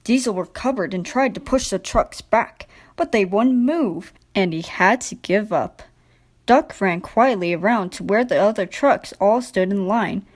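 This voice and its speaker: A woman's voice: mid-range voice, unhurried. A woman narrating a scripted children's storybook.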